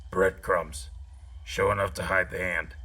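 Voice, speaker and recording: A man's voice: deep voice, flat delivery, a little slow, creaky, sharp tone, breathy edge, exaggerated voice. A man acting a guarded, battle-worn soldier in a sci-fi audio drama, terse and brooding.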